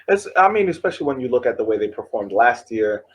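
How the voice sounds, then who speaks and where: Animated, halting male podcast co-host. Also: medium pitch, slightly rough. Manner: animated, halting, restarts often, laughs between points. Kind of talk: casual sports chat.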